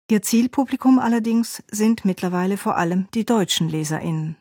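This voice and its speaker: Breathy woman narrating a radio feature. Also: medium pitch, a little mellow, no echo, clean studio sound. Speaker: radio presenter.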